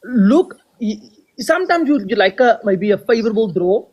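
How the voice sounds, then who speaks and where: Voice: mid-range voice, animated, moderate pace, darkish tone. A podcast co-host giving a firm sports opinion, often repeating words while getting started.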